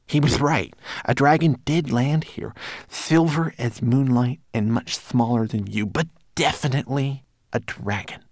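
A man's voice: mid-range voice, unhurried, no room sound, clean studio sound, pitched above the performer's own voice. A man voicing a timid, servile little homunculus in a storybook, stammering nervously and pleading with his master. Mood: earnest. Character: timid, servile, spindly male homunculus servant.

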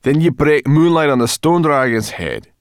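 A man's voice: fairly high pitch, very animated, moderate pace, clean tone, no echo, studio quality, pitched above the performer's own voice. A man voicing a wary, skeptical female brownie who grumbles her doubts.

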